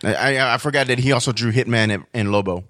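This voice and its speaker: A man's voice: medium pitch, fast, fairly bright tone, no room sound, studio quality. A man chatting informally about comics as a podcast guest, in a rambling, enthusiastic fan's way. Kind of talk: casual chat about comics.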